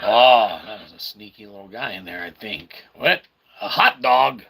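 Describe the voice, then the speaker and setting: A man's voice: lowish voice, animated, normal speed, vocal fry. A man giving casual video game commentary in a goofy way, with wordy catchphrases and mock-formal asides. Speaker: video game streamer, reviewer. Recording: very noisy, game sound behind.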